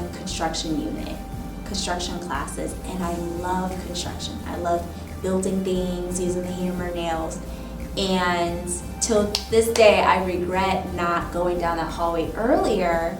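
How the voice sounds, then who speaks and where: A woman in her thirties, a teacher, reflecting thoughtfully in an oral history interview, often pausing with "um" and "you know". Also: lowish voice. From Michigan, raised in Ohio. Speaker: teacher and instructional coach. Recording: roomy, distant mic with music behind.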